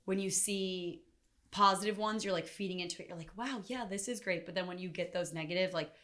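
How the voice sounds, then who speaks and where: Young woman podcast co-host, a little low in pitch, informal conversation. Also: brisk, fairly bright tone. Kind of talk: casual group chat.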